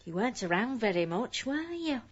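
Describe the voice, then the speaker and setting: A woman's voice: on the high side, big pitch swings. An actress playing a kindly, gushing middle-aged neighbour woman in a radio comedy. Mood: concerned. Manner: gushing, drawn-out exclamations. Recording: dull audio with clear background noise.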